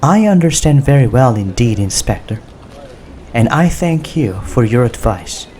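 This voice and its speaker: A man voicing a firm, commanding female Prime Minister in an audiobook, speaking with measured authority. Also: medium pitch, big pitch swings, a little mellow, slight background noise, pitched above the performer's own voice. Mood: cold, stern. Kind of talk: audiobook character dialogue.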